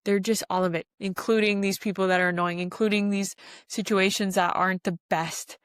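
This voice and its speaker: A woman's voice: mid-range voice, steady tone, fairly quick, clear, resonant tone, dry recording, studio quality. A woman hosting a spiritual self-help podcast, speaking earnestly and urging the listener on, often repeating phrases for emphasis. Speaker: podcast host.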